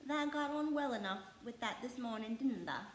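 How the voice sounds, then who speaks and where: Woman acting a brisk housemaid, echoey room. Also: a little high, slight background noise, lightly acted. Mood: brisk, encouraging. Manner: emphatic, folksy dialect. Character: cheerful Yorkshire housemaid, young, brisk and motherly.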